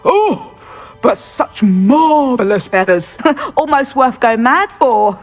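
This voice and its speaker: A woman's voice: on the high side, big pitch swings, slow pace, exaggerated voice. An actress playing a chatty, gossipy female shopkeeper of magical beast goods in a video game. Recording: long reverb, faint music behind.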